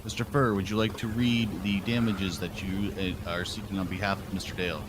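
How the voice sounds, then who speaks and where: A man's voice: deep voice, level tone, a little fast. A man playing a judge in a roleplay courtroom, stern and procedural as he lays out the rules. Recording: noisy game voice chat.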